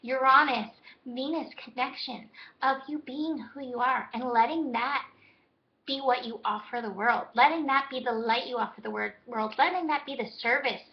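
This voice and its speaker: A woman astrologer giving a daily horoscope in a gentle, reflective, spiritual way, her voice hoarse as she loses it. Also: high-pitched, normal speed, dull audio.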